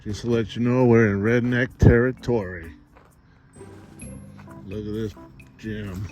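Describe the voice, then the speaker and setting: A man's voice: on the low side, fairly even intonation, measured pace, muffled recording. A man chatting casually and profanely outdoors as he hosts a vlog, given to whooping. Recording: loud music, outdoor vehicle noise.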